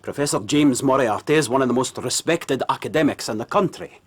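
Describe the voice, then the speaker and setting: An actor playing a world-weary, incredulous police inspector in a radio drama, with an exasperated manner. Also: male voice, mid-range voice, a little fast, slightly rough, close to an everyday voice. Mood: knowing, wry.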